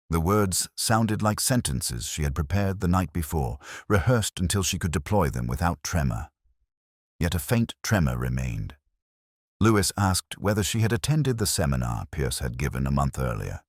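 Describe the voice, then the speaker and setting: A man narrating a scripted first-person detective story in a measured, brooding, literary manner. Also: extremely low pitch, no room sound, clean studio sound.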